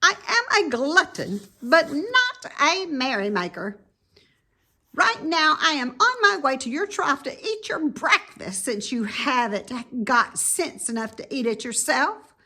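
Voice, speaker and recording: A woman's voice: high voice, big pitch swings, slow, sharp tone, voice pushed up, exaggerated voice. A woman voicing a crafty, gluttonous male rat from a children's storybook, with a sour, sneering manner. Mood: sour, boastful. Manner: scornful, sneering. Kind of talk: children's storybook read-aloud.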